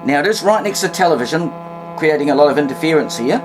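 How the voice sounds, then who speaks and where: A man's voice: fairly high pitch, expressive, quick pace, noisy background. A man with a New Zealand accent giving a casual, unscripted hands-on demonstration at home, hesitant, folksy and wry. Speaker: hobbyist presenter demonstrating vintage radios. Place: indoors in a cluttered home room.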